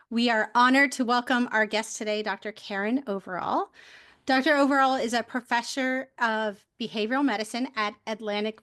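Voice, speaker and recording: A woman's voice: a little high, fairly bright tone. A woman hosting a monthly webcast over a video call, reading a formal, welcoming introduction of her guest. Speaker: webcast host. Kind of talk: scripted introduction.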